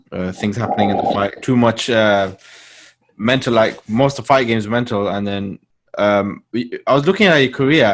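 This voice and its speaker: A man hosting a casual interview, easygoing and agreeable. He hesitates often with ums and uhs. His voice sits somewhat low. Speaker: podcast host, interviewer.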